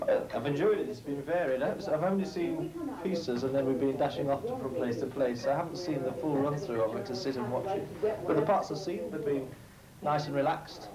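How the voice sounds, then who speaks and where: A man's voice: medium pitch, brisk, over a noisy crowd, poor audio. A man giving an informal opinion as an interviewed television viewer. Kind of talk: informal vox-pop interview. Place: home at breakfast. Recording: loud voices behind, household interview.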